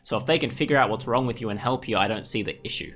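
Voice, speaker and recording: A man's voice: mid-range voice, racing, a bit bright, faint music behind. A man giving casual gameplay commentary, rambling as he thinks aloud. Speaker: gaming video host. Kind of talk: casual game walkthrough commentary.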